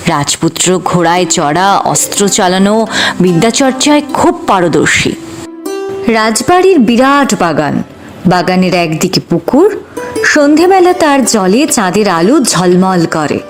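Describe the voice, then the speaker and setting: A woman narrating a storybook cartoon in a slow, expressive manner. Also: medium pitch. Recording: music playing behind.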